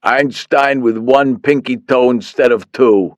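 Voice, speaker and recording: A man's voice: medium pitch, no room sound, pitched above the performer's own voice, natural voice. A man voicing an eager, dim-witted male henchman who talks in an earnest, helpful way. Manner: earnest, helpful, slightly slow.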